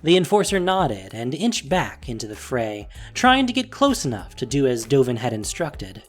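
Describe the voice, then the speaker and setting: A man's voice: mid-range voice, very animated, moderate pace. A man narrating a fantasy audio drama in a dramatic, scripted storytelling style. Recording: faint music behind voice. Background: faint music.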